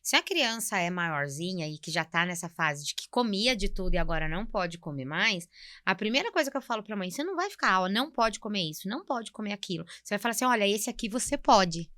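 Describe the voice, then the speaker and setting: A woman's voice: medium pitch, animated, quick pace, pushed and bright, no room sound, studio quality. A woman with a Brazilian accent giving didactic, conversational explanations as a podcast guest, often acting out short example remarks. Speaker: guest nutritionist on a health podcast.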